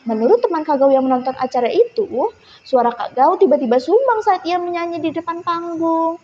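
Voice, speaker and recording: High-pitched teenage girl storyteller in echoey hall. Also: animated, fairly quick, a little mellow. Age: young teenager. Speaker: storytelling contestant. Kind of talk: expressive scripted storytelling. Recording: muffled echoey hall recording.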